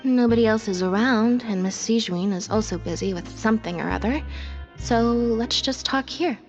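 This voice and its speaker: An actress playing a calm, reserved young woman in a video game, speaking in an understated, formal way. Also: on the high side, normal speed, muffled recording, faint music behind, natural voice. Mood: matter-of-fact.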